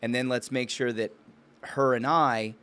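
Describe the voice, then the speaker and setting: A man's voice: mid-range voice, fairly even intonation, unhurried, fairly bright tone, clean tone. A man chats as a podcast co-host in a mid-range voice. He talks informally, like someone telling a story to a buddy. The style is loose, conversational and a bit bro-ish. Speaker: podcast co-host and business owner. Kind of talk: casual conversational podcast talk.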